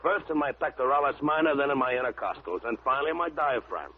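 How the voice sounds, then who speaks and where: An actor with an American accent playing a brash, eager muscle-bound sidekick in an old-time radio drama, blunt and slangy. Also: male voice, mid-range voice, quick pace, a little hiss or hum, close to an everyday voice. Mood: earnest complaining. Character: brash muscle-bound gym enthusiast, cheerful male sidekick. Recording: muffled tinny vintage radio recording.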